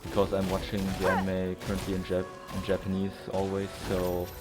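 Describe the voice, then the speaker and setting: A man's voice: sits low, completely flat delivery, brisk. A young man giving casual, rambling, slangy commentary while playing a video game. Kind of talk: casual let's play commentary. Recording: game music clearly behind. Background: video game music.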